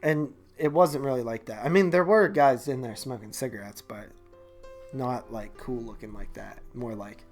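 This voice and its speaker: A man talks as a podcast co-host in a casual movie-review chat. His delivery rambles and is full of slang, at an ordinary male pitch. Faint guitar music plays behind his voice. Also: normal speed, a little mellow.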